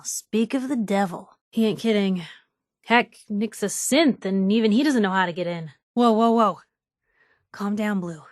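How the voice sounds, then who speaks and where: A woman's voice: mid-range voice, a little mellow, no room sound, close to an everyday voice. Wry, nosy young reporter played by a woman, close dry sound. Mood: wry, earnest. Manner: wry, inquisitive, colloquial and punchy. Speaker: video game voice actor. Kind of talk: video game companion dialogue.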